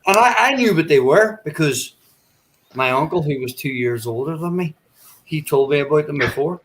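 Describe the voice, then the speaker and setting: A man's voice: fairly high pitch, normal speed, breathy edge, low-quality recording. Irish-raised man in his forties, storytelling. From Ireland. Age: 40s. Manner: swears freely, animated storyteller. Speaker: panelist. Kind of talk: casual group chat, storytelling.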